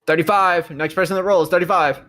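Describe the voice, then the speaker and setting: A man's voice: sits high, fairly even intonation, fairly quick, a bit bright. A man playing a tabletop roleplay, chatting casually in a slangy, sweary way. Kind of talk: casual chat during a game.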